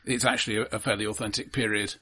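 A man with a lowish, rough-edged voice talking as co-host of a film podcast. His delivery is casual and explanatory, halting as he reworks his phrasing. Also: little pitch movement, pushed and bright, no room sound. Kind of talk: casual analytical film discussion.

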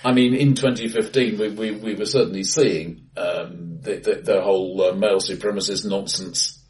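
A man co-hosting a casual film discussion in a bright-sounding room, with a measured, wry, analytical manner. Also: lowish voice, steady tone. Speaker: podcast co-host. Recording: distant, bright echoey room.

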